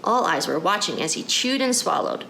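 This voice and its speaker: A woman's voice: fairly low pitch, big pitch swings, moderate pace, bright, forceful tone, echoey room, poor audio. A woman reading a children's storybook aloud as a narrator. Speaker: story reader. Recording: clear background noise.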